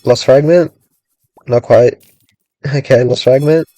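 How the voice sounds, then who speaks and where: A young man giving casual, rambling commentary on his own gameplay, thinking aloud with lots of "like"s and a voice gone a little raspy from hours of talking. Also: mid-range voice, steady tone, takes it slowly, dark tone, no echo, low-quality recording. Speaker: gaming streamer.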